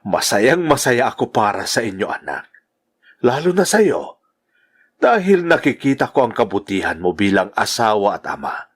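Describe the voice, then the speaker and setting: Expressive, proud older Filipino father played by a man. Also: fairly low pitch, moderate pace, slightly rough. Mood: proud, moved. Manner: heartfelt, approving. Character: proud, kindly older father of grown son. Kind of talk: sentimental Tagalog radio drama acting. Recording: music bed under the voice.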